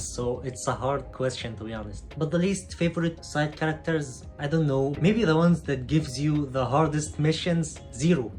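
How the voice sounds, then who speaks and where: A man with an Egyptian accent talks through viewer questions in a frank, casual way, his voice sitting at an ordinary middle pitch. He often lets the ends of his sentences drop quieter, and a little music plays faintly behind him. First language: Arabic. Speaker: gaming YouTuber answering viewer questions. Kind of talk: casual Q&A chat.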